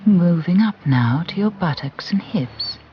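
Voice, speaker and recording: Slow guided relaxation voice, mid-pitched. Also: lively intonation, husky. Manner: soothing, gentle, deliberate with long pauses. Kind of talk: guided visualisation narration. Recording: muffled recording with music behind. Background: background music.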